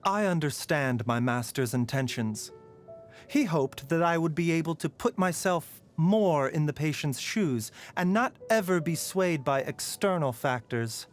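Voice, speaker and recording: Kindly game physician, composed, courteous phrasing, expressive intonation, music underneath. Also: male voice, on the high side. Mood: solemn, compassionate. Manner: measured, polite, softly sighing and chuckling. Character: gentle, scholarly male doctor and herbalist, kindly and composed. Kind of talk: scripted game character voice lines. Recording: music behind the voice.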